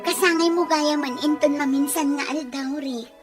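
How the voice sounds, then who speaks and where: Casting: actress as generous married homemaker, high-pitched, chatty, Ilocano accent, audio family drama. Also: female voice, fairly even intonation, moderate pace, over loud music, lightly acted. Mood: casual, agreeable. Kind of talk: acted family radio-style drama dialogue.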